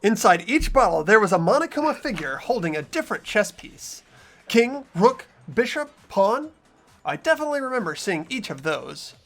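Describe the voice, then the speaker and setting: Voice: medium pitch, very animated, normal speed, fairly bright tone, soft background music. A performer voicing an earnest teenage boy investigator who argues through the evidence in a fan dub of a game. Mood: reasoning.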